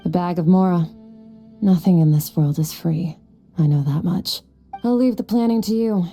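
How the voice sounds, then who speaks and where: A woman voicing an aloof, stoic young warrior in a video game, speaking in a cool, deadpan, formal manner. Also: on the low side, moderate pace, lightly acted. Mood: reserved. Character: aloof, stoic, powerful young swordswoman from the abyss. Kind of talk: anime-style game voice acting. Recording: music behind the voice.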